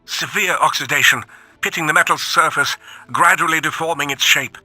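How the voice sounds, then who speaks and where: A man's voice: on the high side, normal speed, pushed and bright, no room sound, soft background music, poor audio. A man playing an earnest robot scholar, delivering a grave, formal and articulate scripted audio log. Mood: troubled.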